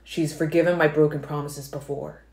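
A woman's voice: deep voice, flat delivery, moderate pace, a little mellow. A woman reading as a brooding young male vampire, speaking in a tense, pained way. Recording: roomy echo.

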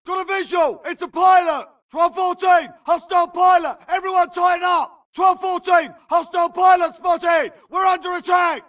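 Urgent soldier shouting high and hard. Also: male voice, fairly even intonation, normal speed, few pauses, distant mic. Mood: alert, commanding. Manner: clipped, urgent, radio-code jargon. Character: tense, urgent male infantry soldier in battle. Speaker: voice actor in a shooter game. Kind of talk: shouted military combat callouts.

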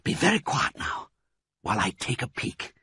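A man's voice: medium pitch, unhurried, breathy edge, dry recording, clean studio sound, pitched above the performer's own voice, full character voice. A man voicing a clever, determined father fox who gives orders to his family. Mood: whispering. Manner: animated, commanding, gleeful when triumphant.

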